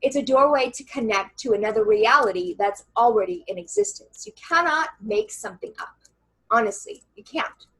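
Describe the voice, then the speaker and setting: A woman channeler and coach with a loud voice, earnestly talking a listener through a writing meditation in a casual interview over a video call. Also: fairly high pitch, lively intonation, normal speed, husky, rough recording. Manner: earnest and reassuring, many fillers.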